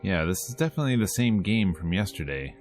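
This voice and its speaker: A man's voice: low voice, big pitch swings, fast, soft background music. A man hosting a let's play, reading visual novel text aloud with playful, casual asides. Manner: playful, casual asides between read lines. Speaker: host of a gameplay video series.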